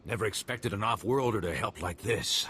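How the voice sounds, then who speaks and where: An actor playing an earnest, worried desert moisture farmer in a video game. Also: male voice, on the low side, lively intonation, normal speed, bright, forceful tone, a little hiss or hum. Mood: overjoyed gratitude. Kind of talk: video game dialogue acting.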